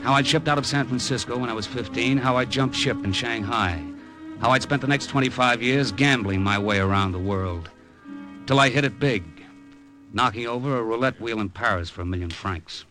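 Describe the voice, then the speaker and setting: An actor playing a wry, easygoing middle-aged American gambler, speaking in a laconic 1950s radio drama style. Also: male voice, mid-range voice, moderate pace, music in the background, close to an everyday voice, rough recording. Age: 40s. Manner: laconic, breezy, conversational. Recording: vintage radio drama recording.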